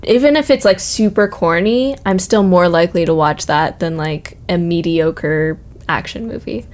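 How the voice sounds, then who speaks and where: A woman's voice: lowish voice, normal speed, a little mellow. A woman chatting casually as a podcast co-host, with a giggly, reactive manner. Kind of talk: casual chat.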